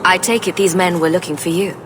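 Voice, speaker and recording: A woman's voice: fairly low pitch, fairly even intonation, quick pace, background noise, natural voice. A woman playing a direct, composed adventurer for hire in a video game, speaking in a plain questioning manner. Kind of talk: video game dialogue acting.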